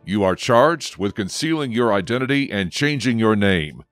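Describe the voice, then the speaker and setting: An actor playing a severe judge in a radio drama, with a solemn, formal, authoritative delivery. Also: male voice, lowish voice, lively intonation, normal speed, fairly bright tone, no echo, soft background music. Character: severe male judge passing sentence in court.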